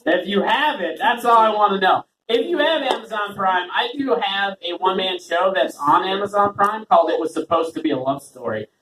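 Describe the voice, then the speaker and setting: A man's voice: high-pitched, lively intonation, brisk, few pauses, a bit bright, a little hiss or hum. A man hosting an open mic and doing a rambling, self-deprecating stand-up set, laughing often. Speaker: open mic host and comedian. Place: small open mic venue with a talking audience. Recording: roomy audience recording from far off.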